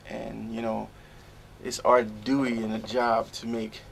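Gravelly, laid-back studio man. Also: lowish voice, little pitch movement, a little slow, slight background noise. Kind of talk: casual talk to camera. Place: recording studio.

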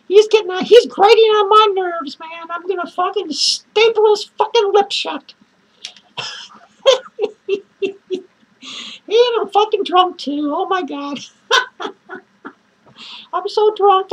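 A woman playing an unhinged, drunk male clown who rambles crudely, cackles manically and lurches between shouts and mutters. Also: very high voice, poor audio. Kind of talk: improvised character monologue to viewers.

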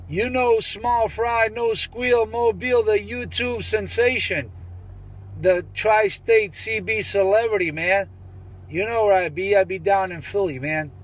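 A high-voiced man talks on CB radio. He is boastful and comes back to the same catchphrases again and again. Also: low-quality recording. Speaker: CB radio operator. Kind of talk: CB radio banter.

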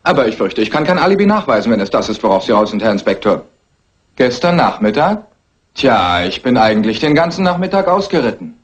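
An actor playing an evasive, apologetically polite gentleman suspect in a dubbed classic crime film. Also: medium pitch, very animated, fairly quick, natural voice. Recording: muffled old film soundtrack.

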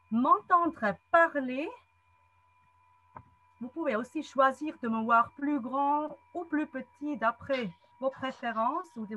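A woman in her forties with a Swiss German accent, a non-native speaker, giving a friendly online lecture from a wood-panelled room over a webcam, pausing often to find her words. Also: high-pitched, sharp tone, poor audio. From German-speaking Switzerland. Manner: friendly, explanatory, frequent hesitations. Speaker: guest speaker, psychologist and trainer. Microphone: webcam video call.

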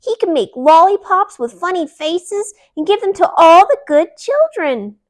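An eager little rabbit child performed by a woman for a storybook. The voice jumps up high and comes out loud and excited. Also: measured pace, full character voice.

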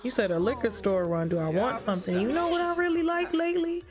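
A young woman livestreaming a life-sim game, chatting casually with her viewers in a lively, joking, profane way on a headset mic. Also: fairly high pitch, fast talker. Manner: lively, joking, talks back to chat, profane slang. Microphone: headset mic at desk. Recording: game music playing behind.

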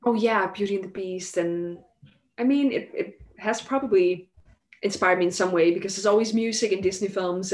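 A young woman from Denmark chats over a video call in English, her native tongue being Danish. Her voice sits slightly low, and she rambles easily with fillers. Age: young adult. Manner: chatty, many fillers and likes. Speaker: guest singer. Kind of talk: casual interview chat. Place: home room indoors. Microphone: headset on video call.

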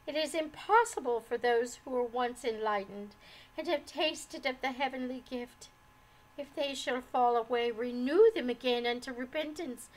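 A woman's voice: high voice, slow pace, few pauses, dark tone, low-quality recording. A woman voicing a heartbroken, despairing wife and mother in a storybook, with a trembling, pleading, sobbing delivery. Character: heartbroken, despairing, unhappily married young mother.

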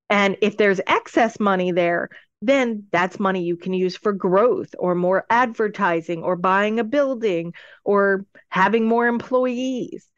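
A woman's voice: mid-range voice, no echo. A woman speaking as a podcast guest and business adviser, explaining conversationally and emphatically, step by step. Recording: dull lo-fi audio.

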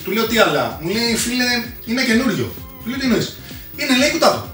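A man's voice: very high-pitched, big pitch swings, fairly bright tone. Chatty man, echoey room. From Greece. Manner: chatty, slangy, mixes in English collector jargon. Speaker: host of a retro console collecting channel. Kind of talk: casual video presentation to viewers. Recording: echoey room, distant mic, music behind.

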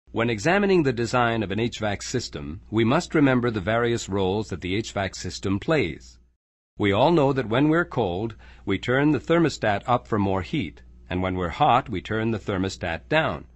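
A man reading a scripted corporate training narration in a measured, clear, explanatory way, recorded as a close-miked voice-over. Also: fairly low pitch, animated, no room sound.

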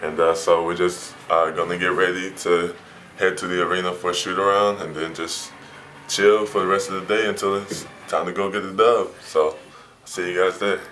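A young man with a very low voice talks into a phone held at arm's length in a hotel room. He is a college athlete vlogging his road trip, laid-back and full of fillers. A faint hiss sits under the voice. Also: flat delivery, moderate pace, fairly bright tone. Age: young adult. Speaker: college basketball player vlogging. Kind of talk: casual vlog narration. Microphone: phone camera at arm's length.

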